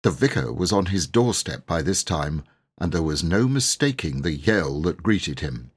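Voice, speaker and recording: A man reading a storybook aloud as an audiobook narrator, in a measured, dramatic and expressive way. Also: low voice, slightly rough. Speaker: audiobook reader.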